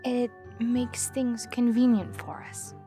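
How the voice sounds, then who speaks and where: A woman's voice: on the high side, flat delivery, slow, warm tone, soft background music. A woman playing a proud, guarded young female unicorn in a scripted audio drama, speaking with formal, precise wording. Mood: explaining, subdued.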